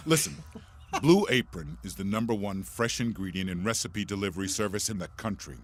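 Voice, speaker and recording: Deep, husky man reading a sponsor ad. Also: lively intonation, brisk. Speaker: podcast host reading a sponsor ad. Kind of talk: chatty host-read ad. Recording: music behind the voice.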